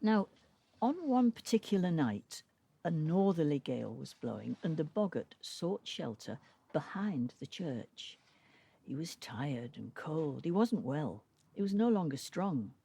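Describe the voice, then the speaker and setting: A woman reading a traditional folk tale aloud as a storyteller, with dramatic, deliberate phrasing. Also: sits low, very animated, creaky, warm tone, slightly rough.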